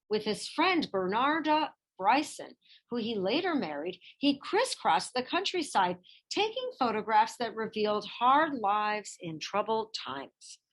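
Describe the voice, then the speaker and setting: A woman reading a picture-book biography aloud as scripted narration, with expressive delivery and deliberate emphasis. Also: on the high side.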